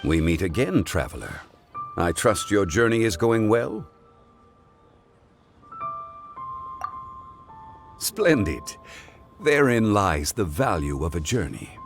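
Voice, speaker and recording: A man voicing a dignified, formal consultant who speaks in a measured and eloquent way. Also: lowish voice, big pitch swings, faint music behind. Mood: courteous.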